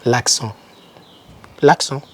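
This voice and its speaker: A young man giving informal motivational advice to camera, speaking emphatically and repeating key words to encourage the listener. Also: drawn-out words. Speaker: YouTuber advising foreign students.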